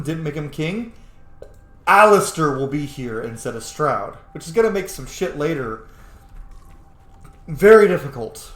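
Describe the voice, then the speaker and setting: A man giving casual, profane and excitable commentary as he plays a game. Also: a little high, reverberant. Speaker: let's-play streamer.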